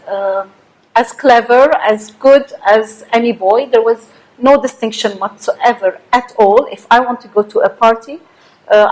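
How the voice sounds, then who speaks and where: Higher-voiced woman, measured and pausing. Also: darkish tone, poor audio. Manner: measured, with frequent filler pauses. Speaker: panel speaker. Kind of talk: seminar panel discussion.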